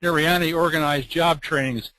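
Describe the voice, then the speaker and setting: A man's voice: on the high side, steady tone, normal speed, pushed and bright, low-quality recording. A man on a webinar panel, steadily telling stories of brave people.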